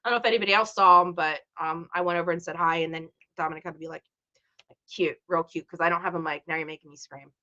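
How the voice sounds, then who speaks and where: A woman's voice: lowish voice, steady tone, fast, pushed and bright, highs cut off. A woman hosting a casual live stream, chatting and rambling to her viewers and greeting them by name. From Chicago area. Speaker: livestream host.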